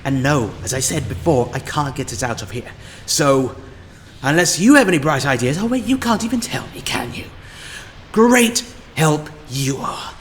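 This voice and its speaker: A man playing a sinister, grandiose storyteller of dark tales in an audio drama, with a theatrical, drawn-out, florid delivery. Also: fairly high pitch, expressive, slight background noise, lightly acted. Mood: impatient, sardonic. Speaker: narrator and host of an anthology audio series. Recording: long hall reverb under loud music.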